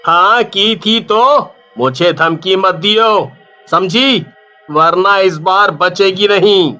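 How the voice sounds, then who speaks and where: Man as a sharp-voiced, sneaky villainous vendor. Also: high voice, slow, muffled recording, soft background music, exaggerated voice. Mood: suspicious, defiant. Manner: suspicious, threatening. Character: sneaky villainous male snack seller, defiant bully.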